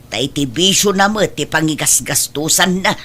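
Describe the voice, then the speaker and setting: A woman's voice: low voice, lively intonation, normal speed, sharp tone, heavily acted. A woman playing a concerned, meddling middle-aged aunt in an Ilocano audio drama, fretful and chiding, with an Ilocano accent.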